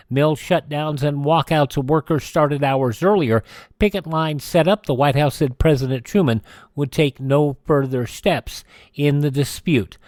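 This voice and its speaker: An American man hosting a radio show, reading news items in a measured way with occasional stumbles and self-corrections. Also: on the high side, a little mellow, no echo, studio quality.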